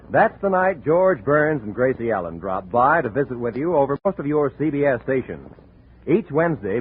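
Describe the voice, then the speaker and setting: A man's voice: a little high, a little hiss or hum. A man announcing for network radio in a polished 1950s promotional style. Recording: muffled 1950 radio broadcast.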